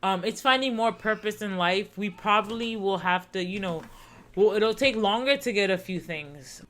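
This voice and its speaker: A woman's voice: mid-range voice, moderate pace, fairly bright tone. A woman in her thirties co-hosting a casual podcast, talking earnestly and reflectively, often repeating herself. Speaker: podcast co-host. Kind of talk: casual chat.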